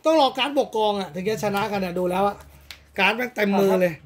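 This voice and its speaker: Quick, teasing Thai shop owner. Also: male voice, high-pitched. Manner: teasing, coarse joking. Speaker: shop owner and channel host. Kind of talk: casual banter. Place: comic and card shop, indoors. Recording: noisy shop background.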